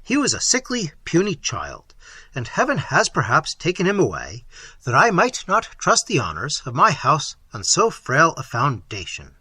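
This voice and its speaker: A man voicing a tyrannical middle-aged prince, loud and commanding, with a husky voice. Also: a little high, wildly swooping pitch, normal speed, no room sound, exaggerated voice. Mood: cold scheming.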